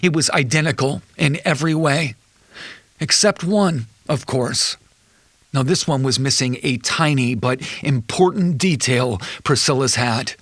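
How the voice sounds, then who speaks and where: An actor playing a betrayed husband, an accountant, in a measured, wry and bitter confession for an audio drama. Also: male voice, medium pitch, fairly bright tone, dry recording. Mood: resigned, wry. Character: betrayed husband, an accountant recounting his wife's affair.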